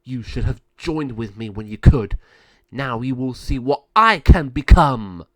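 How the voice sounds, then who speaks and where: A man voicing a scheming villainous sorceress who taunts her sister with sneering, drawn-out words. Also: mid-range voice, wildly swooping pitch, no echo. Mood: triumphant.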